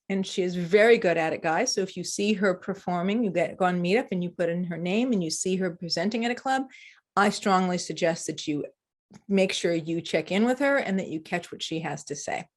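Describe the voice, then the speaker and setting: A woman hosting an interview podcast, introducing her guest and asking questions in a lively, joking way with quick asides. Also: fairly low pitch. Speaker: podcast host. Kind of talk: casual interview.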